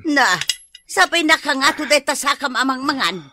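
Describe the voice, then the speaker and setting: A woman's voice: sits high, lively intonation, normal speed, background noise. A woman playing a disapproving older village mother in a radio comedy-drama, with an Ilocano accent. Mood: scolding.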